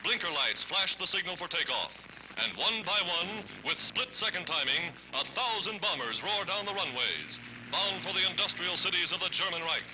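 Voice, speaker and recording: A man's voice: high voice, sing-song, normal speed. A man reads a scripted 1940s wartime newsreel narration in a formal, declamatory, dramatic manner. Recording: noisy vintage 1940s newsreel soundtrack.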